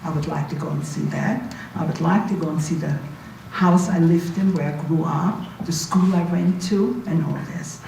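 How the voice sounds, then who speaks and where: An elderly woman speaks in a low-pitched voice with a German accent. She recollects her life conversationally, with halts and asides, as if chatting to listeners. The recording is distant and echoey, with faint noise. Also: moderate pace, gravelly, mellow. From Berlin, Germany. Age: 80s. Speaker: Holocaust survivor giving a talk. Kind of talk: informal spoken recollection to an audience. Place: indoor university talk room, recorded from a distance.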